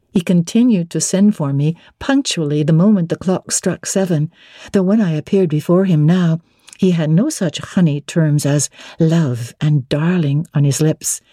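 Mellow, low-voiced woman reading literature. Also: no echo, studio quality. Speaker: narrator. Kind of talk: dramatic literary audiobook narration.

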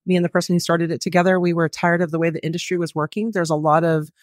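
A woman's voice: lowish voice, fairly even intonation, very fast, no echo. A woman who owns a flooring company, interviewed on a radio show, talking in an upbeat, businesslike way into a close studio mic. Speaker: flooring company owner, guest. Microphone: close studio mic with headphones.

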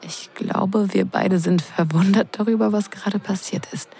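A woman acting in an intimate audio drama as a teasing wedding guest who is drawn in, breathy and hushed. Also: mid-range voice, steady tone. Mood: hushed, tender. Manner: breathy, hushed, slow and sensual delivery.

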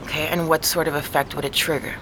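An actress playing a calm woman neurologist who explains things patiently and weighs the evidence, in an audio drama. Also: deep voice, fast, a little hiss or hum. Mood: explaining.

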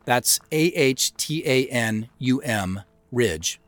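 An American man giving measured, thoughtful director's commentary on an audio drama he created, in a reflective scripted style. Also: medium pitch, animated, no room sound. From United States, Pacific Northwest.

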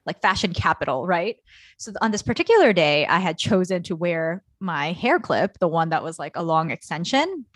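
A woman co-hosting a casual talk, telling personal stories in an animated, self-deprecating way and peppering her speech with "like". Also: on the low side, moderate pace, no echo. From Guatemala. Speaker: co-host. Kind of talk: casual personal storytelling in banter.

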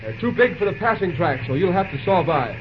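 An actor playing an earnest, plain-spoken young railroad dispatcher in an old-time radio drama. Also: male voice, fairly high pitch, very animated, fairly quick, music in the background. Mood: businesslike. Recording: muffled old radio recording, faint noise.

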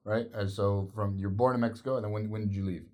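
Low-voiced podcast host, laid-back. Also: male voice, fairly even intonation, fast, a little mellow. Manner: laid-back, blunt, swears casually. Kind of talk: casual interview chat.

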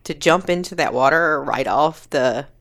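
A woman's voice: deep voice, unhurried, fairly bright tone. A woman guest on a podcast, reading out listener questions and chatting casually. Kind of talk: casual chat.

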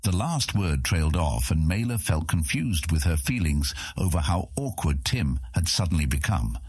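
A man's voice: a bass voice, sing-song, normal speed, darkish tone, slightly rough, no echo, studio quality. A man narrating scripted fantasy fiction as an audiobook narrator.

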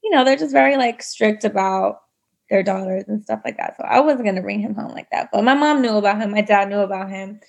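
A woman's voice: mid-range voice, brisk, vocal fry. A woman telling her own story in a casual interview, chatty, full of likes and you knows. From United States. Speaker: guest.

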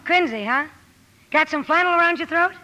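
A woman playing an exuberant, blunt, warm-hearted teenage tomboy in old radio drama style, brisk and full of exclamations. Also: high voice, in a large hall. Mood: exuberant, friendly. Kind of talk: 1940s radio drama acting. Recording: hissy old 1950 radio broadcast.

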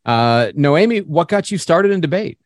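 A man's voice: on the high side, expressive, normal speed, dry recording. A man hosting an interview, affirming his guests and laughing easily as he moves the talk along. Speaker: host.

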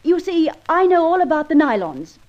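An actress playing the kindly, urgent accomplice of a gentleman adventurer in an old radio drama, earnest, insistent and polite. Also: extremely high pitch, very animated, moderate pace. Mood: reassuring. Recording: dull, lo-fi old radio recording.

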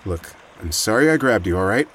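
An actor playing a stern, exasperated, by-the-book city guard in an audio drama. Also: male voice, lowish voice, expressive, fairly quick, fairly bright tone, breathy edge.

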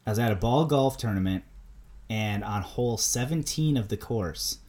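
A man with a Minnesota accent, co-hosting a podcast in a relaxed chat, laughing often and repeating words as he starts sentences. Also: fairly low pitch, moderate pace. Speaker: podcast co-host. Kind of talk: casual chat.

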